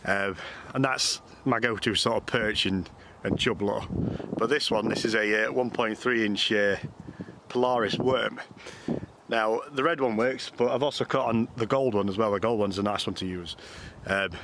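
A man's voice: on the low side, moderate pace. A man in his thirties with an English accent, giving a casual, colloquial explanation as a fishing vlogger. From United Kingdom. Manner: informal, colloquial. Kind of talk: casual explanatory vlog chat. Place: outdoors on a canal bank. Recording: noisy outdoor ambience.

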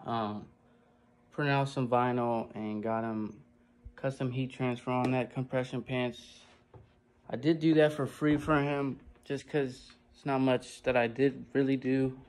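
A man in his twenties vlogging casually to a phone at arm's length while he works in a basement print shop, talking in a hurried, chatty way. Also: medium pitch, flat delivery, darkish tone. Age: 20s. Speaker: screen printing business owner. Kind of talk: casual vlog to camera.

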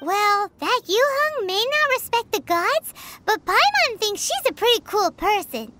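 A woman voicing a tiny, excitable, childlike fairy companion with a squeaky voice in a fantasy video game. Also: a little slow, very noisy, full character voice. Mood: curious, chatty. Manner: speaks of self in third person, exclaiming. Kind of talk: animated fantasy game dialogue.

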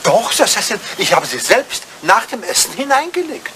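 An older man acting a devoted, dignified butler in a radio play, speaking with formal deference and quiet sorrow. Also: high voice, sing-song, normal speed. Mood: discreet. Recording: noisy old radio play recording.

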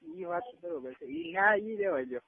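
A man's voice: high voice, big pitch swings, normal speed, vocal fry, a little hiss or hum. A man, chatting casually on the phone in an insistent, coaxing way. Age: early 20s. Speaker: caller. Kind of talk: casual private phone chat. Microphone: telephone. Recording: tinny phone call recording.

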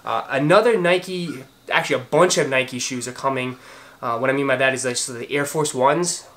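A man in his thirties with a Boston accent talks casually to a camera in a room at home. He is chatty and eager, full of fillers and quick restarts, at a middle pitch. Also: a bit bright. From Boston, Massachusetts. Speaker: sneaker vlogger. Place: home room with shoe shelves, indoors.

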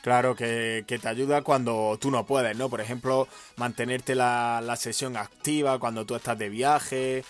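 A man's voice: mid-range voice, steady tone, unhurried, hardly any pauses, faint music behind. A young man with a Spain Spanish accent, hosting a gaming interview in an upbeat, informal way. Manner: upbeat, informal, says "tío". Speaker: host, gaming channel. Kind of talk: casual interview questions.

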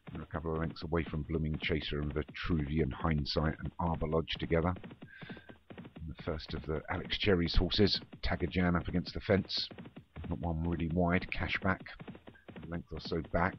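Very deep-voiced man calling a horse race. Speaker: race commentator for an online racing game league. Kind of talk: running horse race commentary. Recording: dull, noisy home recording with clicks.

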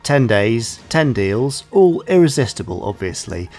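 A man's voice: medium pitch, very animated, measured pace, darkish tone. A man hosting a podcast in casual, informal, bantering chat. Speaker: podcast host.

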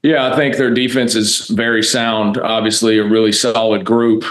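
American male coach, 30s, low register, very flat delivery, press-conference answers, measured and matter-of-fact. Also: low-quality recording. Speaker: college football offensive coordinator. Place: indoor press room, seated at a table before a backdrop.

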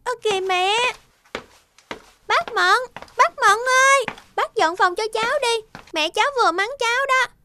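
Very high-pitched spoiled little girl. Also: measured pace, noisy background. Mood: sulky. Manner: bratty, demanding. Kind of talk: cartoon dubbing.

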